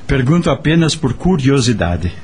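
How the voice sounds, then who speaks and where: A bitter working man in a radio soap, brooding and curt. His voice is husky and rough at the edges, swinging in pitch as he questions things. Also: very animated, normal speed, darkish tone. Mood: troubled, questioning. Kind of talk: radio drama acting.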